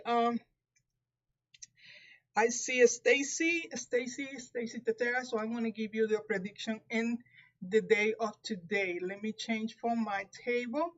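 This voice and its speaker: A woman's voice: a little high, dull audio. A woman with a Puerto Rican accent, a native Spanish speaker, giving a live tarot reading, talking carefully with frequent restarts. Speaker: tarot reader.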